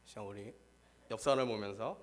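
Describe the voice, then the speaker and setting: A man preaching a sermon as pastor, hoarse from a cold, reading scripture and then explaining it earnestly. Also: normal speed. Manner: earnest, rises in pitch and force when stressing a point. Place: church.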